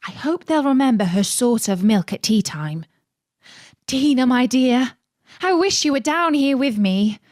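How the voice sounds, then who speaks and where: A woman's voice: high-pitched, expressive, measured pace, darkish tone, dry recording, pitched above the performer's own voice. Curious, chatty young girl for a storybook, read by a woman, dreamy, close and dry. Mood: wistful, musing.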